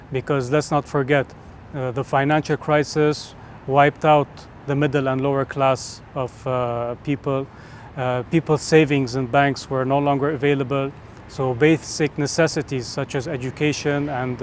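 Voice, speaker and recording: Man speaking steadily, pausing often. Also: mid-range voice, a little hiss or hum. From Lebanon. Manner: steady, with frequent "uh" pauses. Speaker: community organization committee member. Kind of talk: interview testimony.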